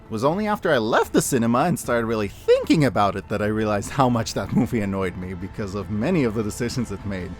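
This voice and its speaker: A man narrating a scripted, opinionated review in an emphatic, conversational way with deliberate dramatic stresses. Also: on the low side, big pitch swings, faint music behind. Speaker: host of a year-end media review.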